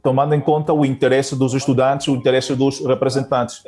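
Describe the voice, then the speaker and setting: Guest teacher from Angola, male, mid pitch, halting delivery full of restarts. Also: flat delivery, warm tone, soft background music. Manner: hesitant, many fillers and restarts. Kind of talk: interview answers.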